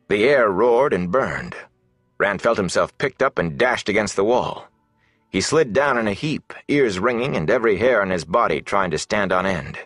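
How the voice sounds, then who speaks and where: A man's voice: sits low, fairly bright tone, no echo, clean studio sound. A man reading scripted fantasy narration dramatically as an audiobook narrator.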